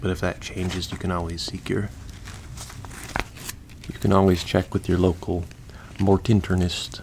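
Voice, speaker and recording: A man doing an ASMR-style roleplay as a mortician for zombies, talking in a soft, unhurried way that is partly whispered and gently rambling. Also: low voice, little pitch movement, slight background noise. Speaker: audio roleplay performer playing a zombie mortician.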